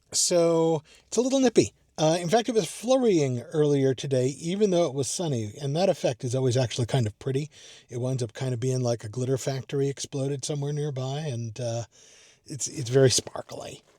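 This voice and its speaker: A man's voice: mid-range voice, normal speed, warm tone, dry recording, clean studio sound. A man talking casually to a vlog from inside a parked car, rambling and thinking aloud in a self-deprecating way. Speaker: amateur radio vlogger. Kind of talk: casual unscripted vlog talk.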